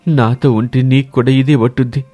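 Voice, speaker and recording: A man acting sickly outcast husband, despairing, rustic, self-pitying, storybook dialogue, soft music behind. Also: mid-range voice, fairly even intonation, a little fast, natural voice.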